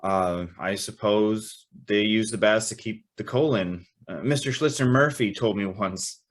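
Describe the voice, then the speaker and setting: An actor playing a polite, curious young house guest in a radio drama, speaking in a mild, tentative way. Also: male voice, fairly low pitch, measured pace. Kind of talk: old-time radio drama acting with narration.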